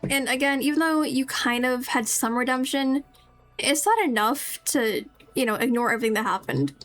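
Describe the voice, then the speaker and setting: A woman's voice: high voice, animated, moderate pace, a bit bright. A woman streaming a game, giving casual, chatty, playfully mocking commentary as she plays. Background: game music.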